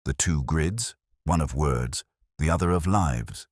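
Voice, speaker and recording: Male narrator for literary mystery audio: extremely deep, lively intonation, measured and grave delivery. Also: breathy edge, dry recording, clean studio sound. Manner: solemn, literary, measured phrasing. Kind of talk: scripted first-person detective story narration.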